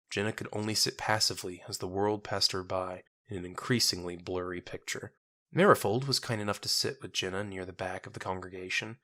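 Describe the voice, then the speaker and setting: A man's voice: fairly low pitch, sharp tone. A man narrating a scripted dramatic story as its storyteller.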